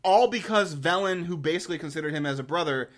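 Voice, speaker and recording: A man with a fairly high-pitched voice hosts a casual video commentary. He talks informally and with enthusiasm, in a rambling way. He often restarts and repeats words as he works through his thoughts. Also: quick pace, a bit bright. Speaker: host of a game lore review channel.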